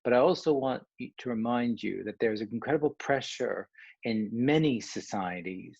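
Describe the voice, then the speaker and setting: Husky Canadian man leading a workshop. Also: medium pitch, moderate pace, a little mellow, no echo, muffled recording. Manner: conversational, with frequent restarts and asides. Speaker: coach. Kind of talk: online workshop presentation.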